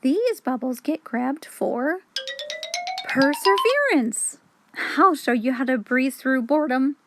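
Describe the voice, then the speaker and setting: A woman reading a children's picture book aloud expressively, in a clear, instructive storytelling manner for young children. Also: high-pitched, animated, slow pace, soft background music. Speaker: children's story reader, host.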